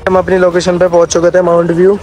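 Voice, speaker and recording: A man's voice: high-pitched, completely flat delivery, fast talker, a little mellow, faint music behind. A North Indian man chatting casually as he hosts a travel vlog. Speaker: vlogger hosting an outing with friends.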